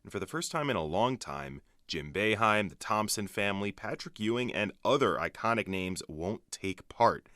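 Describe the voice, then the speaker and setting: A man's voice: lowish voice, animated, moderate pace, hardly any pauses, bright, forceful tone, no room sound, studio quality. A man anchoring a radio sports update in a scripted broadcast delivery.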